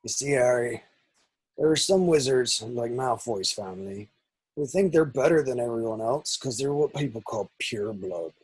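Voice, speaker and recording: Man playing protective gamekeeper, raspy, animated pitch, folksy, reassuring, amateur drama read. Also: moderate pace, rough recording. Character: kindly, protective, plain-spoken male gamekeeper. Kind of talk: amateur script table read.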